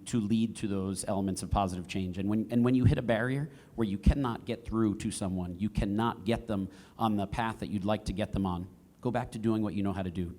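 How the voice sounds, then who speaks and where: A man speaking as a panelist, giving earnest, measured advice and repeating phrases for emphasis. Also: lowish voice, darkish tone.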